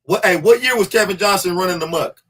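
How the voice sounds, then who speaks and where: A man in his forties from Los Angeles tells a story in casual chat, animated and quick to laugh. His voice sits a little high. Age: 40s. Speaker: podcast host.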